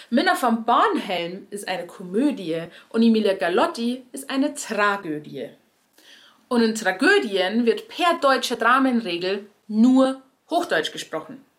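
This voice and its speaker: A young adult woman from Bavaria with a Bavarian accent, a stage performer giving ironic, lively radio commentary. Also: fairly high pitch.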